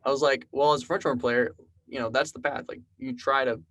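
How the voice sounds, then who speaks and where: A man's voice: mid-range voice, brisk. Twenties guy from South Texas, laid-back interview guest who rambles through stories. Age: 20s. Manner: relaxed, rambling storyteller with many fillers. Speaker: podcast guest, programmer and musician. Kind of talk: casual conversational interview.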